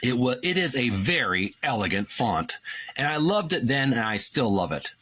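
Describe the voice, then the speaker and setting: Guy reading a book out loud for his channel, husky voice, kinda forceful, trips over words and fixes them. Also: medium pitch, very animated, normal speed. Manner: stumbles and corrects himself mid-sentence. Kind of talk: reading aloud from a book with commentary.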